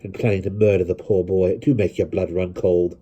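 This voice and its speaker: A man doing a hushed village gossip. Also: deep voice, little pitch movement, brisk, slightly rough, muffled recording. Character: gossiping village woman, shocked and chatty.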